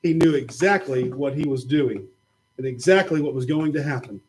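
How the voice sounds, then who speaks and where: A man's voice: medium pitch, normal speed, rough recording. A man patiently telling a Bible story to children in a church puppet show. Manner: patient, gently explaining.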